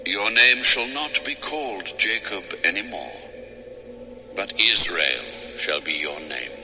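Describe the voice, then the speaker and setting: God, solemn voice in vast reverb. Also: male voice, sits low, expressive, pushed and bright, exaggerated voice. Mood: solemn, majestic. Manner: slow, solemn, declarative. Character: God Almighty, solemn commanding male divine voice granting blessings. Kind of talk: dramatized scripture audio. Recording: long cavernous reverb, music underneath. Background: music.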